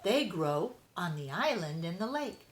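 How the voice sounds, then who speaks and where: Woman voicing a slow, folksy old grandpa cat. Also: fairly low pitch, sing-song. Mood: playful, inviting.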